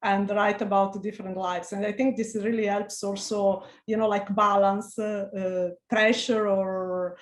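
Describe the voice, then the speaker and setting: A woman's voice: mid-range voice, little pitch movement, measured pace, hardly any pauses, roomy sound. A middle-aged woman with an Italian accent, a researcher being interviewed, speaking earnestly with many hesitant restarts and fillers. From Italy. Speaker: liver researcher, interview guest. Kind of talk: video call interview.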